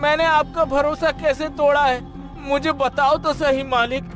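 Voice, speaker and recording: A man's voice: extremely high pitch, level tone, a little slow, soft background music, some chatter behind. A man voicing a poor, honest servant in a cartoon story, humble and pleading, stammering at times.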